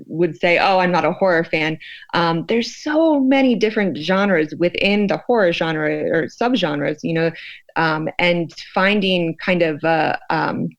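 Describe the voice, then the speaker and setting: Low-voiced American woman, slightly muffled audio. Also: unhurried. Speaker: expert guest, sociologist researching fear. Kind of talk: conversational interview.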